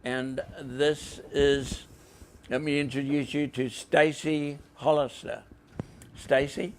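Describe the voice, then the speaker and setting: Slow, gravelly New Zealand man hosting. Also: mid-range voice, level tone, a little hiss or hum. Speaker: podcast host. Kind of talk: informal show hosting.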